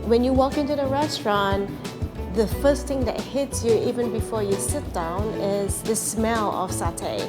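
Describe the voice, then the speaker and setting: A woman from Malaysia, a restaurant owner, calmly and earnestly explaining her food in an interview. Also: mid-range voice. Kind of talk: interview soundbite. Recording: music bed loud underneath.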